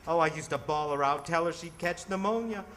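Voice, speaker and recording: An actor playing a distraught, bitter and weary ambulance driver in old-time radio theatre, with an emotional, halting, stammering delivery. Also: male voice, fairly high pitch, fairly bright tone, a little hiss or hum, natural voice. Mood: grieving.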